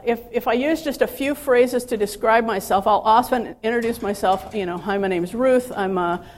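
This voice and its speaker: A woman in her fifties giving a personal, faith-centered talk to staff, chatty and self-deprecating, with many fillers and restarts. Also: mid-range voice. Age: 50s. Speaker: speaker addressing ministry staff.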